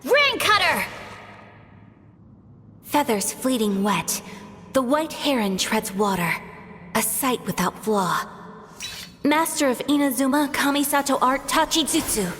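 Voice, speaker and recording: A woman's voice: sits high, big pitch swings, a little slow, pauses often, a bit bright, full character voice. A woman playing a refined young noblewoman sword master who speaks in an elegant, theatrical, poetic way in an anime game. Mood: battle cry. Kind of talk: anime video game voice acting. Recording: long reverb, music underneath.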